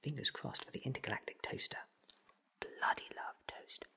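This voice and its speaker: A man's voice: moderate pace, sharp tone. A man in his thirties whispering a playful ASMR roleplay as an eccentric sci-fi doctor, muttering to himself close to the microphone. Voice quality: breathy whisper. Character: eccentric, quirky time-travelling alien doctor. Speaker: ASMR performer.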